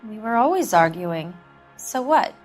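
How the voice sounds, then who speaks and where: A woman's voice: medium pitch, big pitch swings, measured pace, soft background music. A woman playing a cynical, defiant 1940s widow being questioned, in the sardonic style of a noir game drama. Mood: defensive.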